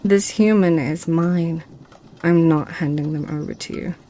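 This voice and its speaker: A woman's voice: sits low, measured pace, mellow, slight background noise. A woman playing a seductive, possessive succubus in an ASMR roleplay, with a teasing, sly way of talking. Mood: possessive, smug. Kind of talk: ASMR roleplay audio drama.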